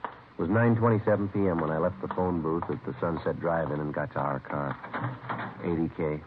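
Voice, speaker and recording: An actor playing a laconic American police detective sergeant in a 1950s radio crime drama, deadpan and matter-of-fact. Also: male voice, sits low, brisk, close to an everyday voice. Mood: terse, businesslike. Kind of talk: 1950s radio drama, clipped understated narration. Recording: noisy, narrow-band vintage radio recording.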